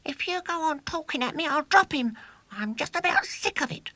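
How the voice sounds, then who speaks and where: A man's voice: very high voice, expressive, moderate pace, sharp tone, dry recording, voice pushed up, full character voice. A man voicing an irritable, complaining schoolboy who is fed up, in a children's storybook. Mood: exasperated. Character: fed-up, goaded schoolboy inside giant costume.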